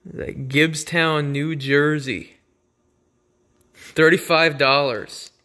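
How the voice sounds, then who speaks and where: A man's voice: fairly high pitch, fairly even intonation, drawn-out words, pushed and bright. A man giving casual, unscripted vlog commentary in a laid-back, rambling way, recorded on a handheld phone in a garage. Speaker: vlogger.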